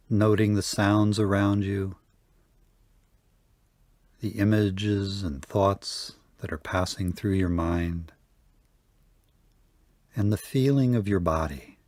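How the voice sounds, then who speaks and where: A man leading a guided yoga nidra meditation, speaking softly and calmly with long pauses. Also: on the low side, dry recording. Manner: slow, soft, calm guiding with long pauses. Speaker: meditation teacher.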